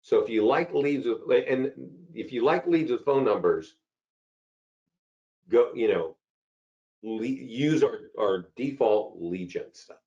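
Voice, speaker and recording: A man with a hoarse voice from a cold, hosting a live software webinar and chatting through questions from viewers. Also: mid-range voice, animated, slow. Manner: chatty, repeats himself, answers chat questions. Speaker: webinar host. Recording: dull lo-fi call audio.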